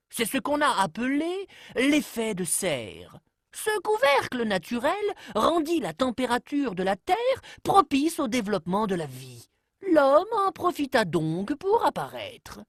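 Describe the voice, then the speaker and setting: A woman narrator with a high voice that swings widely in pitch. She tells an explainer cartoon like a fable, phrasing each thought deliberately and without hurry, in a dry, close recording. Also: breathy edge, dry recording. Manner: measured storytelling delivery with deliberate phrasing. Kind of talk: scripted narration of an educational cartoon, told like a storybook tale.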